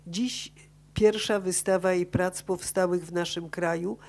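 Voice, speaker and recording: A woman with a fairly low voice reads a scholarly paper at a conference. She follows her written text and now and then trips over a word. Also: steady tone, measured pace, a little mellow. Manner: reads from a script, stumbling over words. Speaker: conference speaker. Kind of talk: academic paper read aloud. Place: conference auditorium. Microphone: gooseneck table microphone.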